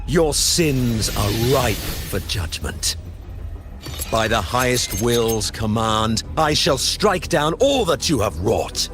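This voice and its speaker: A man's voice: fairly low pitch, full character voice. An actor playing a wrathful, zealous holy man in a video game, preaching judgment in a solemn, grandiose way. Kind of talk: theatrical video game voice acting.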